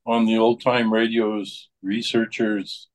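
A man's voice: lowish voice, level tone, slow, low-quality recording. A man talking in a casual conversational style. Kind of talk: casual chat.

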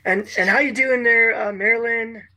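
A man's voice: very high-pitched, fairly even intonation, moderate pace, pushed and bright, no room sound, low-quality recording. A man greeting people in a casual group conversation, cordial and hearty.

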